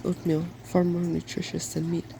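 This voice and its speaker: A man voicing an eager, bossy, scholarly young woman in a soft-spoken ASMR storybook reading, with precise, instructive phrasing. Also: on the high side, monotone, moderate pace, gravelly, background noise. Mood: brisk, cheerful.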